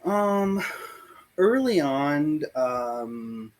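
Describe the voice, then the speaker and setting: A man in his forties, born in Puerto Rico and raised in the US South, recalls his life in a casual video-call interview, pausing often and thinking aloud. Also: on the high side, sing-song, darkish tone. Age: 40s. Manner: slow, reflective, pauses often with "um" and "like". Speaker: interview guest. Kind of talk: casual oral history interview.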